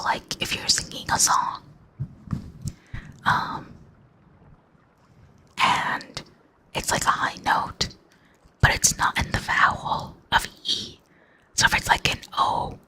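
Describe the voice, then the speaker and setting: A young adult ASMR streamer chatting with viewers in a rambling, close-mic whisper, full of fillers and soft little laughs. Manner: laughs softly between thoughts. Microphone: close mic, near enough to blow into.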